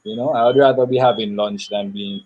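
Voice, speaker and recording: Young guy, kinda breathy low voice, talks slow and thoughtful in an interview. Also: steady tone, lo-fi sound. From Jamaica. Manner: thoughtful, measured, with pauses and fillers. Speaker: interview guest. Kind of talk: reflective interview answer.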